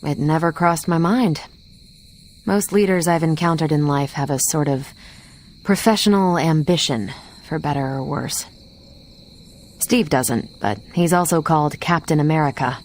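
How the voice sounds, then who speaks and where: A woman's voice: low-pitched, a little slow, a little mellow. A woman playing a frank, worldly, dry hero in a video game cutscene, with faint background noise. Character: frank, worldly female hero, dryly approving.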